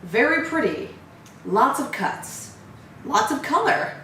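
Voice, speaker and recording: A woman's voice: high voice, very animated, slow pace, bright, forceful tone. Blunt woman in a very echoey room. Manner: blunt, opinionated, wry. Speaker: co-host of a horror trailer reaction show. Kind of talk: casual film review chat. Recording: heavy room echo, distant mic, faint noise.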